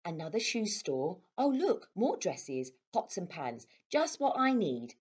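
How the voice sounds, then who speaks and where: Woman reading as a lively, grumbly young storybook boy. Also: on the low side, measured pace, husky, exaggerated voice. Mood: grumbling. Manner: complaining, exclaiming.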